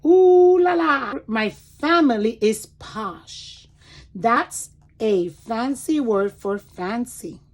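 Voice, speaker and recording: A woman reading a picture book aloud to children in an expressive, deliberate way, pausing to explain words and point things out. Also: fairly high pitch. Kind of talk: animated children's read-aloud with commentary asides.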